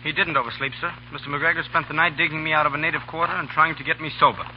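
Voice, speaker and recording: An actor playing an earnest, boyish young lieutenant, polite and eager, in a 1930s radio drama. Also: male voice, on the high side, steady tone, rapid-fire, slight background noise, natural voice. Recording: dull 1930s radio recording.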